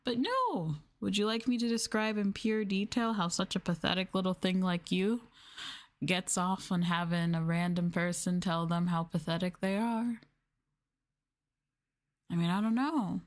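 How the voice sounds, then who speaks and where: A woman's voice: on the low side, unhurried, long pauses. A woman streamer doing an ASMR roleplay, talking down to her listeners in a mocking, teasing, sultry way. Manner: condescending, mocking, sultry taunting. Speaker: streamer, VTuber host.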